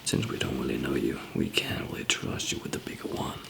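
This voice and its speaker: A man playing a calm, easygoing survival trainer in an ASMR zombie apocalypse roleplay, whispering softly and hesitantly with a gravelly, breathy voice. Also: very deep voice, full character voice. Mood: quietly instructive. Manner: hesitant, halting, soft-spoken. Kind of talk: ASMR roleplay, improvised monologue to listener.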